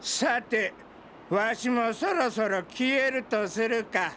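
An actor playing a sinister old man in a radio drama, with a drawn-out, theatrical delivery. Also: extremely high pitch, pushed and bright, slight background noise, full character voice. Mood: sly. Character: sinister old man who slips into others' dreams. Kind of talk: Japanese radio drama acting.

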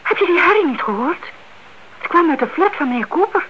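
An actress playing a fretful, suspicious older aunt in a Dutch radio play, speaking politely and anxiously. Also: female voice, very high-pitched, very animated, fairly quick. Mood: alarmed. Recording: dull, hissy old radio recording.

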